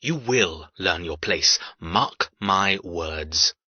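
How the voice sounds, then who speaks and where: A man playing a cold, domineering older patriarch in an audiobook, raspy, with clipped, formal, commanding speech. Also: low voice, animated, drawn-out words, pushed and bright, no echo, lo-fi sound, exaggerated voice. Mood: stern menace. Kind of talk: dramatic audiobook character dialogue.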